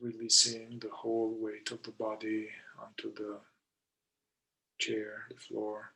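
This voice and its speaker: A man leading a guided meditation in short, deliberate phrases with long pauses between them. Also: lowish voice, completely flat delivery, creaky, pushed and bright, poor audio. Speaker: meditation guide, somatic therapist.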